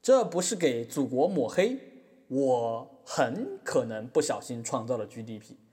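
A man's voice: mid-range voice, big pitch swings, measured pace, dark tone. A man playing a brash, boastful, money-minded boss giving chatty testimony in a comic courtroom drama. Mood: scheming, boastful. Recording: echoey hall reverb.

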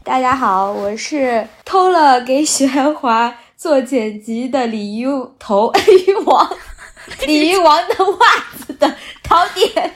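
A woman with a fairly high voice chats casually as a podcast guest. She has a playful, joking way of talking, and some noise sits behind her voice. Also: expressive, slow. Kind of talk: casual chat.

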